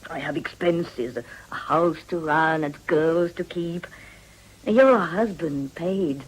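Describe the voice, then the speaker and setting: An actress playing a shrewd, worldly madam in a period radio drama, wheedling in a deliberate, genteel and businesslike way. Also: female voice, sits low, breathy edge, heavily acted, low-quality recording.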